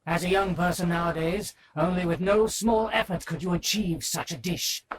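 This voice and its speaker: A man's voice: high voice, steady tone, moderate pace, background noise, close to an everyday voice. A man voicing a pompous, haughty aristocrat with formal, archaic speech in a video game. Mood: delighted, dignified. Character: pompous, haughty aristocratic nobleman, easily flattered. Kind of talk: scripted video game character acting.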